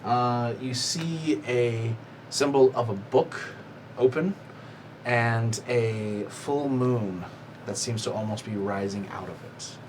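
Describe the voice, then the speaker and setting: A man's voice: mid-range voice, slow, a little mellow. A man running a tabletop game as game master, narrating with playful laughs and many fillers. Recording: noisy room recording.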